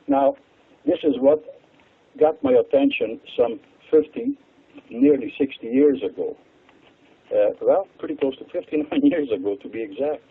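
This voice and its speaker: An older man with a Hungarian accent, explaining his subject in an interview with frequent chuckles and sudden jumps in pitch. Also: measured pace, vocal fry, pauses often, husky. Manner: animated, chuckles often, pitch leaps for emphasis. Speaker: interview guest, water expert. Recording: tinny phone-like line.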